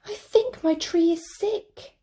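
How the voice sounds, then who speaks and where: A woman's voice: very high voice, slow pace, warm tone, voice pushed up. A woman voicing an anxious, caring young male fox cub in a storybook, earnest and pleading. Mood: worried.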